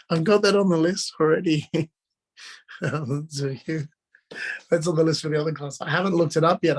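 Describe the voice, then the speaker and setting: A man with a hoarse, strained voice from being sick, informally explaining drawing step by step as a teacher on a live video call. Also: a little high. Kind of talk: casual live online drawing lesson, talking while drawing.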